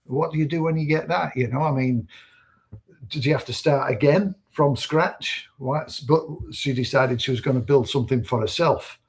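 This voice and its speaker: A man's voice: mid-range voice, normal speed, a little hiss or hum. A man in his fifties co-hosting a casual livestream, telling stories in an easygoing, rambling way. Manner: easygoing storyteller, rambling with fillers. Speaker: livestream co-host.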